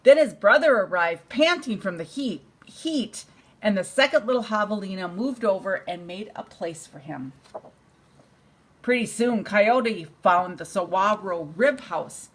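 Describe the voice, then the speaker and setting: An older woman, a grandmother, reading a bedtime storybook aloud to a child in an expressive, unhurried way. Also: mid-range voice, husky.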